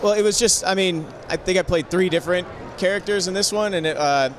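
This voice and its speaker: A man's voice: high voice, brisk, noisy background. A male actor chatting casually in an interview, rambling and self-effacing. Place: live convention event, microphone. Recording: clear crowd chatter behind.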